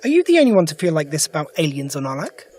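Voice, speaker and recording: An actor playing a sharp, principled alien adventurer in an audio drama, brisk and inquisitive. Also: male voice, fairly high pitch, wildly swooping pitch. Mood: pointed questioning. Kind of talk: full-cast audio drama acting.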